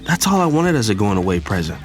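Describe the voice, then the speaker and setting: A man's voice: lowish voice, sing-song, fast talker. A young man acting as a playful, idealistic younger brother, narrating his own story in a scripted audio drama. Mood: reflective, storytelling. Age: young adult. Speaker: narrator and character. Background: music.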